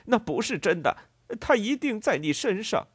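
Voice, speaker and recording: A man's voice: high voice, big pitch swings, moderate pace, slightly rough, no echo, pitched above the performer's own voice, heavily acted. A man voicing a frantic, greedy, angry farmer in a storybook, stammering and blustering as he repeats his words. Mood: furious, accusing.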